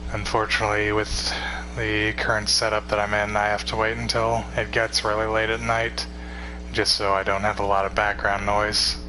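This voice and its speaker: Young male streamer, 21, tired, low even delivery, loose and rambling, noisy recording with a hum. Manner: rambling, relaxed, swears casually. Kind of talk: casual chat with stream viewers.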